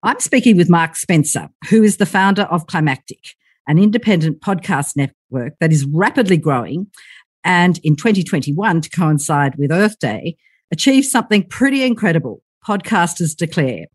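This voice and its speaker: Woman, podcast host, low husky voice, earnest read. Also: very animated, moderate pace. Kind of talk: scripted podcast intro and interview.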